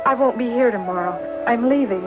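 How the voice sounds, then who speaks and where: An actress playing a gentle, proper young schoolteacher in distress, in a 1950s television western. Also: female voice, fairly high pitch, fairly quick, lightly acted. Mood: sad, resigned. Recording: dull old TV soundtrack with music.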